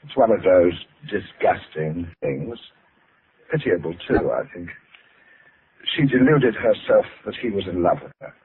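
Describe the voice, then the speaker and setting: An actor in an old radio drama playing a cold, controlling father who threatens in a formal, measured way. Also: low-pitched, expressive. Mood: cool contempt. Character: cold, controlling father calmly blackmailing at gunpoint. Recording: noisy, tinny old radio recording.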